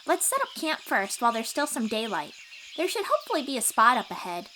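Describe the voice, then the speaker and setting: A woman playing a cheerful, boastful young sea-dwelling warrior in an audio roleplay, eager and expressive as she talks to the listener. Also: on the high side, a little fast, a little hiss or hum, full character voice. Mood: excited, encouraging. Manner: eager, bragging, reassuring, expressive storyteller.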